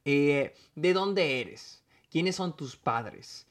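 A man's voice: on the high side, animated, extremely slow. A man with a Mexican accent giving a casual, rambling solo film review, full of restarts and dropped-in English words.

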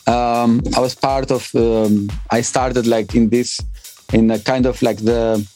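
A man, giving casual interview answers as a DJ in halting, English. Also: mid-range voice, little pitch movement, a little mellow, soft background music. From Albania and northern Greece. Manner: halting non-native English phrasing. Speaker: interview guest, DJ and music producer.

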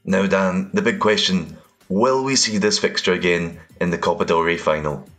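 A man with a Scottish accent hosting a football podcast, presenting in a measured, scripted way. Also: low-pitched, a bit bright, soft background music. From Scotland. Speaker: podcast host.

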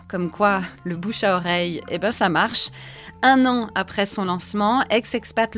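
A middle-aged woman from France hosting a podcast, narrating and interviewing in a conversational way with frequent hesitation fillers. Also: mid-range voice. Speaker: podcast host.

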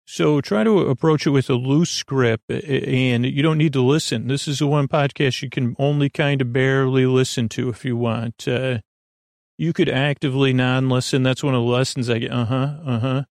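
Male sleep-podcast host, heavy vocal fry, dry close sound, wandering and halting delivery that loops back on itself. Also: mid-range voice, steady tone, darkish tone, no echo. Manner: meandering, halting, repeats and trails off. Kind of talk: rambling bedtime monologue.

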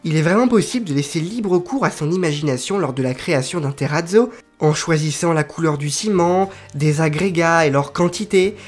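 Male podcast host, slightly raised pitch, talks it through casually, lots of hesitation, faint music bed. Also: brisk. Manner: chatty, explains carefully with frequent hesitations. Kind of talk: solo informative podcast monologue.

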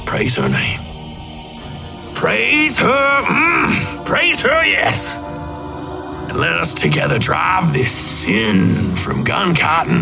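A man playing a fervent revival preacher, delivering a theatrical sermon with bombastic, drawn-out, chanting exhortations. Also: lowish voice, vocal fry, exaggerated voice. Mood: rapturous. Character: fervent male revival preacher spreading his gospel. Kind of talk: theatrical sermon parody promo.

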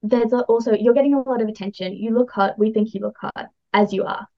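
A woman's voice: fairly high pitch, fairly even intonation, fast, muffled recording. A woman chatting casually as she explains astrology as a podcast guest, informal, enthusiastic and encouraging.

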